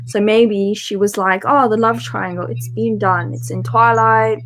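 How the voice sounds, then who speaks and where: A woman with a medium-pitched voice talks as a book club co-host. Her delivery is casual and unscripted, like a chat between friends about what they have read. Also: fairly even intonation, a little slow, a little hiss or hum. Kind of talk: casual chatty book discussion.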